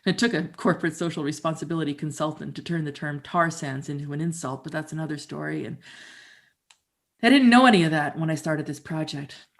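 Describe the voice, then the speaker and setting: Middle-aged woman, wry and conversational, stage monologue, lowish voice. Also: a little fast. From Canada. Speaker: performer. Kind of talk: theatrical storytelling monologue.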